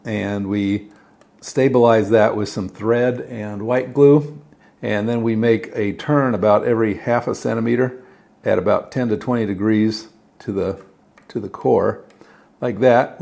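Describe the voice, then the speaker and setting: Guy with lowish gravelly voice explaining a diy build step by step, casual and plain-spoken. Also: a little mellow. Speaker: host of DIY electronics build videos. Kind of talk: casual hands-on tutorial talk.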